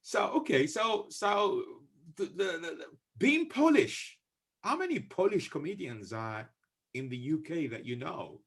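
Male interviewer, husky, very animated intonation, laughs often, stammers into questions. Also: fairly high pitch, a little slow. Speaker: podcast interviewer.

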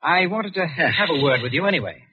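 Husky, shrewd young Englishman. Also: fairly high pitch, lively intonation, fast talker. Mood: probing. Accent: British. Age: young adult. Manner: clipped, probing, wryly insinuating. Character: shrewd, suspicious young British colonial man, needling politely. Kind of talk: 1940s radio drama acting. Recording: muffled vintage 1940s radio recording.

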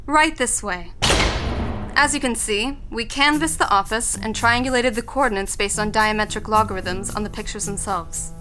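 A woman's voice: fairly high pitch, moderate pace, music in the background, lightly acted. A woman playing a cool, efficient tech expert in an audio drama, speaking formally. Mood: businesslike.

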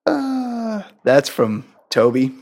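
A woman's voice: medium pitch, very animated, vocal fry, a little mellow. A woman co-hosting a podcast who reads out listener emails and teases in a wry, joking way. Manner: wry, teasing, quick jokes. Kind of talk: casual chat.

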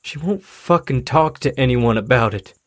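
Man playing a weary husky-voiced father, dry recording. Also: medium pitch, expressive, no echo, natural voice. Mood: dejected, frustrated. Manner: halting, swearing, downcast. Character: weary, troubled, frustrated widowed father of a teenager. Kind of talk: naturalistic audio drama acting.

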